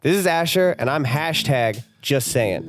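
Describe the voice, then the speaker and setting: A man's voice: medium pitch, expressive, a little slow, music in the background. A young man delivering a scripted video essay on movies, with sarcastic, emphatic lists. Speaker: video commentary host.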